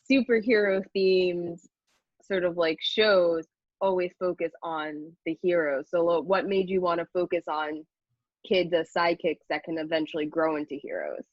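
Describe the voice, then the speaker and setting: A woman's voice: lowish voice, dry recording. A woman interviewer chatting in an informal, conversational way, on a dull lo-fi recording.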